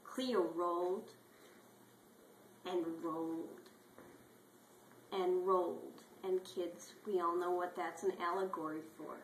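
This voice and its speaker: A woman reading a storybook aloud as parody, deliberately and dramatically with many pauses. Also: on the low side, fairly even intonation. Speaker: story reader. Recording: echoey room with background noise.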